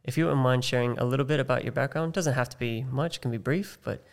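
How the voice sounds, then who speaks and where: A man's voice: medium pitch, fast talker. A man hosting a podcast interview, friendly and affirming, with casual fillers and restarts. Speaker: podcast host.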